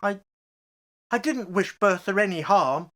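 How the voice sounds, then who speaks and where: A man's voice: high-pitched, lively intonation, unhurried, fairly bright tone. A man playing a fearful older husband in a radio horror play, agitated, hesitant and pleading. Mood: hesitant, disbelieving.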